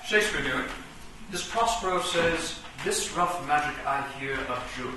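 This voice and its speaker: A man's voice: a little high, animated, moderate pace, bright, forceful tone. A man playing a melodramatic, Shakespeare-quoting chess knight in a comic radio play, theatrical and earnest. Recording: very echoey, distant, noisy room.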